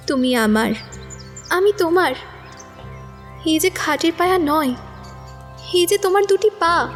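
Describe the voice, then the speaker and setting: An actress playing a lovesick, devoted young woman in an audio drama, declaiming in a high, impassioned voice. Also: in a large hall.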